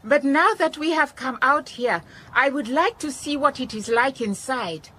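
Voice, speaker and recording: High, bright, determined woman. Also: a little slow. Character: determined, curious woman visiting an abandoned place. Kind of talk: radio drama acting.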